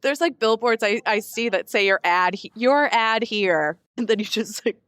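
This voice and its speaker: A woman's voice: on the high side, normal speed, a bit bright, clean tone, dry recording. A woman speaking as a podcast co-host in informal, conversational chat. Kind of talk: casual chat.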